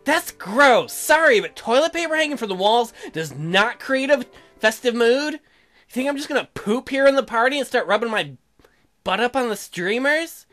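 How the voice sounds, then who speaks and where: A man's voice: extremely high pitch, expressive, moderate pace, a bit bright, voice pushed up. A man voicing a blunt young newlywed woman colonist in a visual novel, questioning and easily put out, hamming it up. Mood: disgusted. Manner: indignant, questioning. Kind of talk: hammy visual novel character read-out. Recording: music behind the voice.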